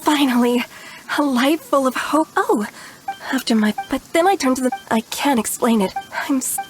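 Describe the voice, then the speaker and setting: Game villager follower, played by a woman: high pitch, emotional, flustered and halting. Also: faint music behind, close to an everyday voice, low-quality recording. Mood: overwhelmed, hopeful.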